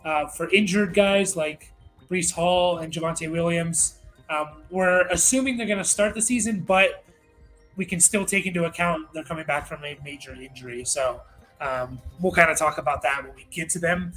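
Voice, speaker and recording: A man's voice: fairly high pitch, moderate pace, a bit bright, soft background music, poor audio. A man hosting a fantasy football show in a casual, conversational sports rankings style.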